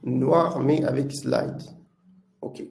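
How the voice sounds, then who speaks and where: Italian-accented man with a creaky voice. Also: medium pitch, fairly even intonation, a little mellow, a little hiss or hum. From Italy. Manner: halting, hesitant delivery with frequent filler sounds. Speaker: product manager presenting a webinar. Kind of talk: live webinar presentation.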